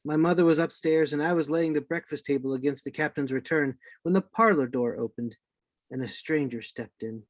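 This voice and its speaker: A man playing a grave narrator recalling his boyhood adventure, with measured dramatic radio play delivery. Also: on the high side, no room sound, close to an everyday voice. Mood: grave, reminiscent. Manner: measured, literary storytelling.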